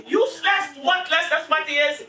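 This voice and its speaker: A woman playing a stubborn, sharp-tongued elderly Caribbean grandmother in a stage play, blunt and scolding. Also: high voice, normal speed. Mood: scornful, scolding. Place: indoor stage, mic far from actors. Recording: roomy, distant stage recording with noise.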